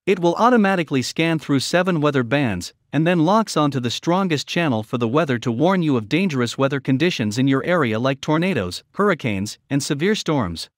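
Man narrating products, lively pitch. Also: a little fast, few pauses, dry recording, clean studio sound. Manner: reading from a script. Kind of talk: scripted promotional voice-over narration.

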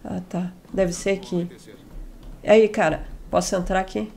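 A young woman hosting a gaming channel, chatting casually through a let's play on a headset mic, still a little hoarse from a cold. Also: on the low side, fairly even intonation, a little slow, darkish tone, slight background noise. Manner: chatty, jokey asides to the game characters. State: recovering from a cold, slightly hoarse.

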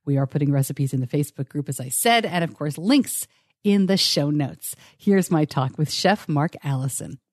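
A woman's voice: normal speed, no room sound. Upbeat woman podcast host, dry studio mic. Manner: upbeat, laughs often, animated pitch swings. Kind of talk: podcast ad read and interview.

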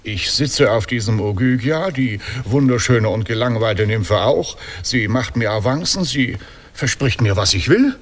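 Radio play: aging Greek hero, silver-tongued rogue, courtly, very animated delivery. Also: male voice, mid-range voice, a little slow. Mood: self-justifying. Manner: courtly flattery, hesitant self-justifying. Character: smooth-talking old Greek hero, courtly and roguish. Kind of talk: ironic radio play dialogue.

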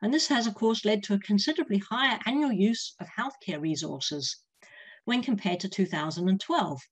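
A woman's voice: medium pitch, normal speed, slightly rough. New Zealand woman presenting a lecture. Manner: steady, reading from slides. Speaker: consultant nurse presenter. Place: room at home. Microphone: laptop webcam on a video call.

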